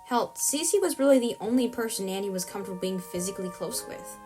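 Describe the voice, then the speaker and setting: A woman's voice: mid-range voice, animated, fast, music in the background. A woman reading scripted narration for an audio drama.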